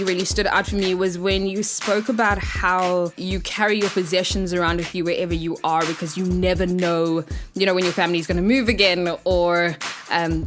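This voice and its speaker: A woman in her twenties, a podcast host, wrapping up the episode in an upbeat, quick sign-off. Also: lowish voice. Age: 20s. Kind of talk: podcast outro.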